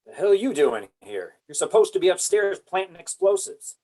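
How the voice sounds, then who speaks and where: A man's voice: sits high, sing-song, normal speed, a little mellow. A man playing a principled, blunt military colonel, profane and indignant, in a script table read. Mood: angry.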